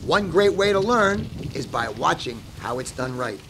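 A man's voice: a little high, big pitch swings, moderate pace, noisy background. A man delivering scripted voice-over narration for a sports program in an upbeat, announcer-like way. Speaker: show host and narrator.

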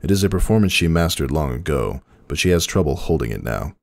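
Very deep, gravelly male narrator. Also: very animated, dry recording, studio quality. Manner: measured, solemn. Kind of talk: dramatic storybook narration.

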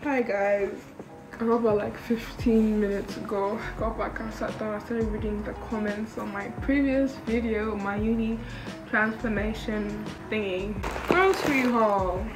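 A woman's voice: medium pitch, steady tone, a little slow. A young woman chatting casually to camera in a rambling, informal vlog style, recorded indoors at a short distance from the mic. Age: young adult. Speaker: vlogger, university student. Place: indoor student flat kitchen and rooms. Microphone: camera at arm's length or propped nearby. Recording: echoey room, background music.

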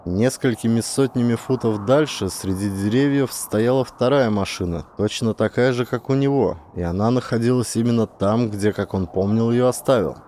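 A man's voice: mid-range voice, normal speed, hardly any pauses, warm tone, a little hiss or hum. A man reading scripted fiction as a dramatic audiobook narrator.

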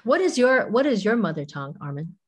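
A woman's voice: medium pitch, big pitch swings, brisk, husky. A woman in her forties, a professor and author, explaining ideas thoughtfully as a guest over a video call, often hedging with "kind of" and "you know". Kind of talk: interview conversation. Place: home room with windows.

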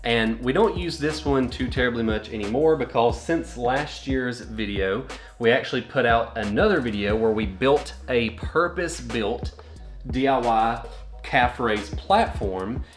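A man's voice: mid-range voice, measured pace. Young man explaining gym gear in echoey garage. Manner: practical, matter-of-fact demonstrating. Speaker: home gym channel host. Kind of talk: casual how-to explanation. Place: indoor garage home gym. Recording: echoey room with faint music.

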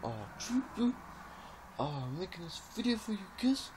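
A whiny, lazy New Zealand bro, pitched high. The accent is thick and exaggerated, with drawled, repetitive phrasing, on a faintly noisy homemade video. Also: male voice, very animated, moderate pace. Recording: noisy webcam-style home recording.

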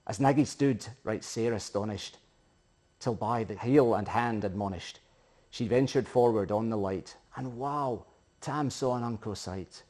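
A middle-aged man with a Scottish accent giving a theatrical, emphatic recitation of a narrative poem in Scots, swelling and hushing as he goes. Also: on the low side, unhurried. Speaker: poetry reciter. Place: living room, indoors.

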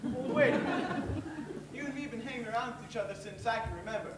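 A man's voice: very high-pitched, fast, over a noisy crowd. A young man playing an eager, cocky teenage orphan boy in a stage play. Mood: earnest. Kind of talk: stage acting. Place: theatre stage, far camera. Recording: distant echoey theatre stage, noisy.

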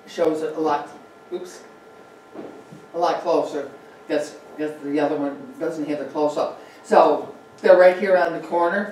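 A woman's voice: on the low side, a little mellow, slight background noise. A woman giving a chatty local history talk over slides, with fillers and asides. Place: meeting room with projector. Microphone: far room mic. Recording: very echoey room, distant mic.

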